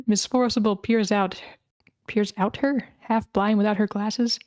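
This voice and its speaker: A woman's voice: mid-range voice, steady tone, slow pace, darkish tone, no echo. A woman reading screenplay scene directions aloud as the narrator of a table read.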